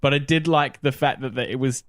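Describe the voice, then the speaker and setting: A male podcast co-host in relaxed conversation. His voice sits at a middle pitch and is captured very dry. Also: fairly quick, no room sound. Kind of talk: casual chat.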